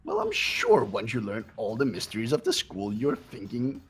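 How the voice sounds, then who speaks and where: A performer voicing a sadistic, mocking mechanical bear in a fan-voiced video game, gravelly and theatrical, with taunting cackles. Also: mid-range voice, huge pitch swings, moderate pace, slight background noise. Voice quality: gravelly, raspy. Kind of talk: fan voice acting over a game playthrough.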